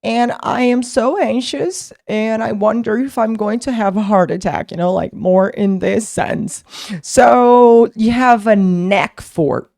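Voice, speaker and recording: A woman's voice: fairly high pitch, slow pace, creaky, a little mellow. A young woman whose first language is Portuguese, teaching English in a casual online lesson, talking in a chatty way with many fillers and restarts.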